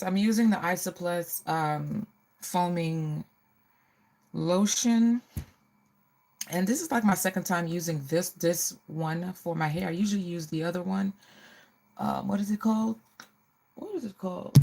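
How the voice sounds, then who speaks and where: A woman's voice: on the low side, a little slow, vocal fry, pauses often, darkish tone. A middle-aged woman hosting a casual get-ready livestream, chatting to viewers and often repeating her phrases.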